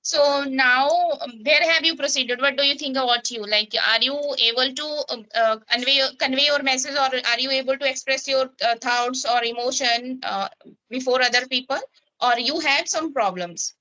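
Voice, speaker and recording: A woman with an Indian accent chats over an app call. Her voice sits high, and her tone is bright. She hesitates often and fills gaps with "uh" and "okay" while she asks her questions. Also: normal speed, lo-fi sound. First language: Hindi. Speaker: language practice partner. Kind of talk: casual practice conversation.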